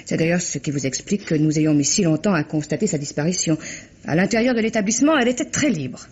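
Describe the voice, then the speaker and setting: Polite clinic witness, husky, fairly high voice, measured and explanatory, radio drama, muffled recording. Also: male voice, lively intonation, darkish tone. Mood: earnest, explanatory. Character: courteous, talkative male clinic staffer giving testimony. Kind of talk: 1950s French radio drama acting.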